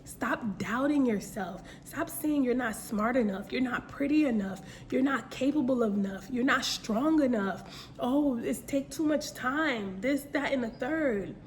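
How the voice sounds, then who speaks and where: A young woman with a somewhat high voice gives a casual faith-based pep talk straight to her listeners. She circles back on her points, repeats phrases and fires rhetorical questions. A faint hiss sits under the recording. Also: measured pace. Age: young adult. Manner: earnest, repetitive, direct address with rhetorical questions. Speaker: motivational speaker.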